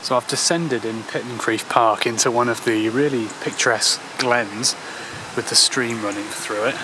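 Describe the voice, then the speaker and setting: A man in his thirties giving a casual, halting walking commentary as a travel vlogger, speaking outdoors into a handheld camera. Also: mid-range voice, fairly even intonation. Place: outdoors in a park and old town streets. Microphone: handheld camera at arm's length. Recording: clear outdoor ambient noise.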